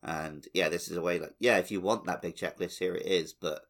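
A man's voice: deep voice, steady tone, a little fast, creaky, a bit bright. A man hosting a podcast in casual, conversational talk. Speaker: podcast host. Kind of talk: casual chat.

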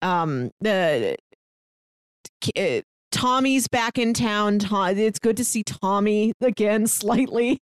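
A woman's voice: mid-range voice, lively intonation, slow, clean tone, no room sound, clean studio sound. A woman hosting a podcast, chatty and conversational, asking questions and recapping at length. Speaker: podcast host. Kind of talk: casual chat recapping a show.

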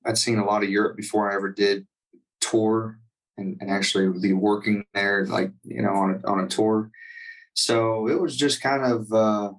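A man's voice: fairly low pitch, fairly even intonation, normal speed, recorded from a distance, low-quality recording. A man, a touring singer, talking casually in an interview with many fillers and restarts. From United States.

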